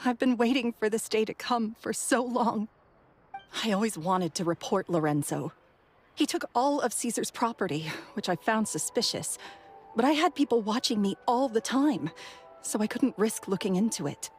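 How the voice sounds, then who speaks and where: A woman's voice: a little high, expressive, moderate pace, faint music behind, close to an everyday voice. A woman voicing a timid, earnest young woman in a video game, with a hesitant, emotional manner. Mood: fearful, confessing. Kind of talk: English video game voice acting.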